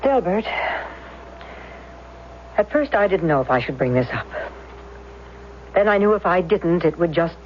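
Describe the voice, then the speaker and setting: An actress playing a troubled, suspicious wife, hesitant and probing, in a 1970s radio drama. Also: female voice, lowish voice, animated, a little slow, long pauses, slight background noise. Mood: tense, accusing. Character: troubled, suspicious wife confronting her husband. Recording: muffled old radio recording.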